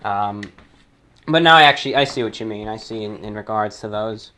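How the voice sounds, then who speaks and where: A man hosting a listener-mail show, reading letters and commenting casually in a halting, deliberating way, often repeating his phrases. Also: lowish voice, pushed and bright.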